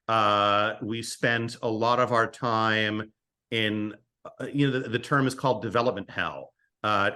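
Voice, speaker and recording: A man speaking as a podcast guest, telling a story casually and haltingly, thinking aloud in short phrases. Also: fairly low pitch, sharp tone. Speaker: podcast guest, screenwriter and co-creator of an audio drama.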